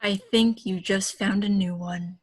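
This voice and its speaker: A woman playing a calm, mysterious muscular man who offers laconic encouragement in a fan fiction table read. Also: mid-range voice, a little slow, husky, no echo. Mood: calm, encouraging.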